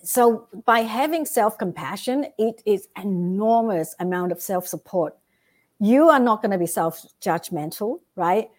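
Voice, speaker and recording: A woman's voice: medium pitch, animated, unhurried, a little mellow. A woman with a Vietnamese accent, a guest giving earnest motivational advice and often checking in with "right?". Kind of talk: motivational interview talk.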